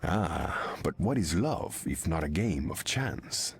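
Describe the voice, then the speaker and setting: A man's voice: rumbling low, sing-song, slow, creaky, full character voice. An actor playing a haughty, flirtatious sea king with regal, formal speech in a video game. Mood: haughty, seductive. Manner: regal, formal, self-assured.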